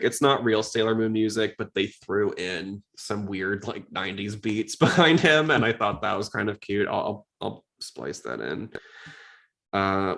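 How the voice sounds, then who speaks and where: A man's voice: lowish voice, a bit bright. A man hosting a casual fan podcast, chatty and full of opinions. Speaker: podcast host.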